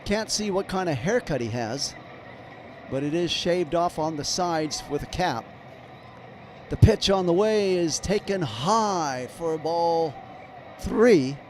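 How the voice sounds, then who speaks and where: A slightly hoarse man doing live play-by-play commentary of a baseball game, calling each pitch as it happens. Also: animated, slow, darkish tone, a little hiss or hum. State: slightly hoarse, clearing throat.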